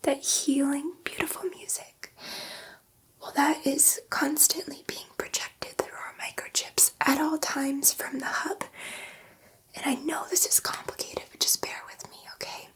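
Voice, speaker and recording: A woman voicing a gentle, excitable alien scientist in an ASMR roleplay, speaking in a soft, breathy whisper with a halting, reassuring manner. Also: high-pitched. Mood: soothing, explanatory. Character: gentle, excitable female alien scientist soothing a human subject.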